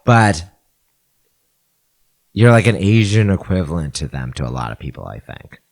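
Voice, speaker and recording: A man's voice: sits low, sing-song, moderate pace. A man co-hosting a podcast in casual chat, making agreeable, opinionated remarks. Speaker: podcast co-host.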